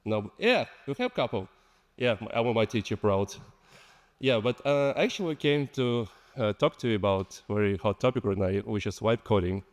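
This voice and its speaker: A man with a Belarusian accent speaks on a stage mic, with long reverb from a big hall. His voice is fairly low. He talks informally and chattily, joking with the people he is addressing. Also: lively intonation, moderate pace. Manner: chatty, joking, engages the audience. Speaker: conference lightning talk speaker. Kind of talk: informal conference talk. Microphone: stage microphone.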